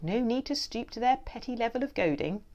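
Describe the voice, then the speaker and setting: A woman's voice: a little high, animated, fairly quick, a little mellow, lo-fi sound, pitched above the performer's own voice. A haughty storybook wasp who runs a rival school, voiced by a woman. He is pompous and sneering, looking down on everyone he addresses. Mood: condescending.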